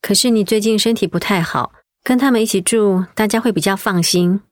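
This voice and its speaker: An actress playing a caring young mother in a radio drama, with a gentle, reassuring way of talking. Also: mid-range voice, brisk, no echo, clean studio sound, close to an everyday voice.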